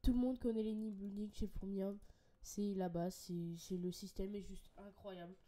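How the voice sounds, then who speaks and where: A woman's voice: medium pitch, little pitch movement, moderate pace, mellow. Bubbly young Frenchwoman with a cold. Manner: chatty and enthusiastic, many fillers and restarts. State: has a cold, a bit congested. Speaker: ant-keeping channel host. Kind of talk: casual screen-share product walkthrough.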